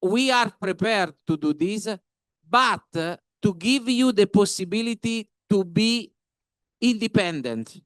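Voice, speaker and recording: A man with an Italian accent speaking on a panel in halting, emphatic non-native phrases. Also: high voice, lively intonation, fairly bright tone, no room sound. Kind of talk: panel discussion remarks.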